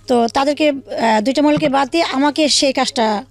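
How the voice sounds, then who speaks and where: A woman with a Bangladeshi accent telling her own life story in an interview, haltingly, with pauses and repeated phrases. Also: high voice. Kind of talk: personal storytelling in a radio interview. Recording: faint music bed under the voice. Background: soft background music.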